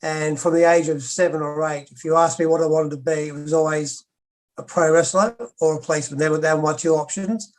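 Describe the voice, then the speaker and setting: An older Australian man, a former pro wrestler, recounting his past as an interview guest in a steady, matter-of-fact way. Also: on the high side, fairly quick, rough recording. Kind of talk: interview storytelling.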